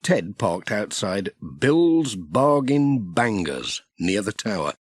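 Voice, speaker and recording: A man's voice: lowish voice, big pitch swings, slow pace, breathy edge, no room sound, studio quality. A man with a British accent reading a scripted children's story as narrator.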